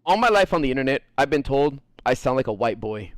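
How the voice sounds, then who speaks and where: An American man streaming a game and chatting casually, animated and swearing freely, with frequent laughs. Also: medium pitch, fast, dry recording. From United States. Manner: animated, profane, laughs often. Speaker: gaming streamer. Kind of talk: casual chat while gaming.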